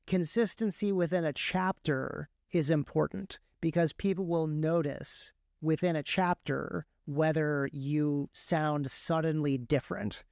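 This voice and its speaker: A man's voice: on the high side, darkish tone, no echo, clean studio sound. A man, a guest on the show who writes and narrates audiobooks, talking casually and explaining his process step by step. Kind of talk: casual interview talk.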